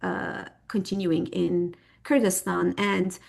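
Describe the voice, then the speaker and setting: A woman's voice: on the low side, fairly even intonation, slow, gravelly, darkish tone. A middle-aged woman from Turkey, a native Turkish speaker, speaking as a guest historian in a podcast interview, thoughtful and searching for words with frequent fillers.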